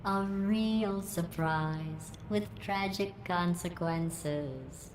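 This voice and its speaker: An actress voicing a cold, sarcastic female facility AI in a video game, with a deadpan, passive-aggressive delivery. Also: on the low side, extremely slow, slight background noise. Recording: echoey game audio with music.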